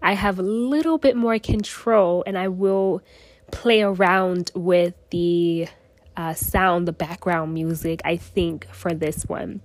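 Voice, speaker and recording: A woman's voice: on the low side, steady tone, slow pace, no room sound. A young woman hosting a solo podcast, sounding nasal from a cold and speaking earnestly in short phrases into her phone. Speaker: podcast host.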